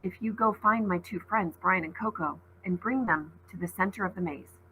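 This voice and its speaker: A woman's voice: fairly low pitch, moderate pace, bright, forceful tone, a little hiss or hum, rough recording. A woman voicing a frightened but brave young girl in a storybook, speaking with urgent defiance. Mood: bargaining, determined.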